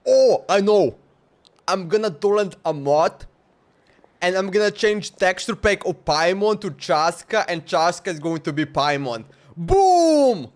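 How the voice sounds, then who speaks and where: A man giving casual live commentary while gaming, halting and repeating words as he goes. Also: sits high, big pitch swings. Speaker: game livestreamer. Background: faint game music.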